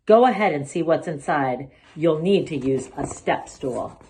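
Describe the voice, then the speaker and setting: A woman voicing an affectionate elderly grandmother in a storybook, speaking gently as she teaches and encourages. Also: low-pitched, animated, measured pace, slight background noise. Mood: tender. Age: older.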